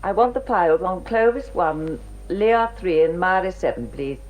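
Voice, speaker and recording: An actress playing a composed, professional woman doctor in a radio drama, speaking in a measured, polite way. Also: on the low side, lively intonation, muffled recording, lightly acted. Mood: composed, brisk. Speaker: actor.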